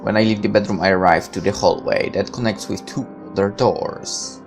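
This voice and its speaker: A man reading a visual novel aloud as a let's player, in expressive narration broken up by casual asides. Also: fairly low pitch, moderate pace, music in the background.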